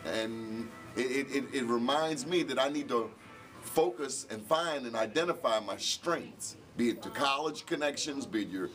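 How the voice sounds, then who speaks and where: A man's voice: medium pitch, lively intonation, fairly bright tone, faint music behind. A middle-aged man, an event organizer and radio host, speaking reflectively in an on-camera interview in an indoor hall. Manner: reflective, measured, gestures with hands.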